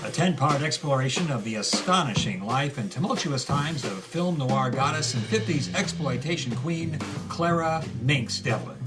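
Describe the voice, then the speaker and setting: A man's voice: fairly high pitch, expressive, moderate pace, hardly any pauses, loud music behind the voice, close to an everyday voice. An actor playing an earnest, theatrical male host who presents and interviews in a scripted audio drama podcast. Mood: grand, dramatic.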